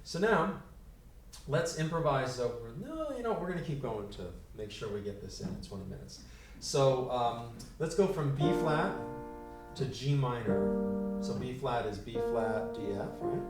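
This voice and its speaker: A man teaching a piano lesson, counting beats out loud and explaining chord changes. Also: mid-range voice, expressive, measured pace. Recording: roomy, distant mic, loud piano. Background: piano playing.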